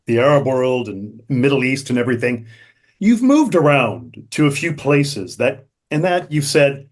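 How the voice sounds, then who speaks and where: Guy hosting a chat on a video call, conversational, listens closely, mid pitch. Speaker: interview host. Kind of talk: conversational interviewing.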